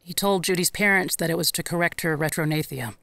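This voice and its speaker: An actress playing a gentle, remorseful woman in an audio drama, speaking in a halting, reflective way. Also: fairly low pitch, gravelly, no room sound. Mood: matter-of-fact. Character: remorseful woman recalling a sick little girl, gentle. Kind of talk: audio drama acting, conversational.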